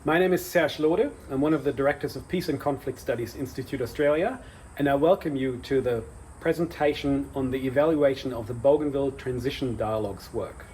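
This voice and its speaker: A man's voice: medium pitch, fairly quick, slight background noise, rough recording. A man, director of an institute, giving a formal ceremonial welcome and introducing a guest. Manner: warm ceremonial welcome, some fillers.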